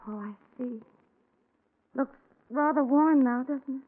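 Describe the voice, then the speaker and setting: An actress playing a worried, grieving but stubborn young wife in 1940s radio drama style. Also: female voice, high-pitched, a little slow. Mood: curious, subdued. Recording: tinny 1940s radio recording.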